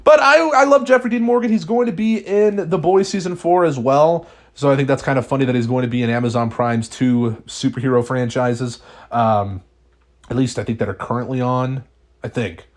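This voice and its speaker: A young man hosting a casual video, talking off the cuff with fillers and restarts, his pitch jumping up when he starts a new point. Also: fairly even intonation, normal speed. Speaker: YouTube host. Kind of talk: casual speculation vlog.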